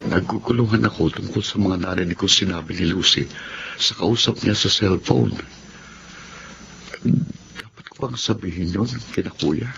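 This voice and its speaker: A man's voice: sits low, moderate pace, pauses often, music in the background. An actor playing a shy, brooding young man, a younger brother in a radio drama, speaking hesitantly. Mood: puzzled, troubled. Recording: muffled audio with clear background noise.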